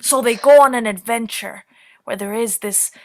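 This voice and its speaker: A woman's voice: on the high side, normal speed, natural voice, low-quality recording. A woman on stage playing a weary but playfully inventive woman sifting through fire ruins, in naturalistic stage acting. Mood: animated. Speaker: actor in a staged play reading.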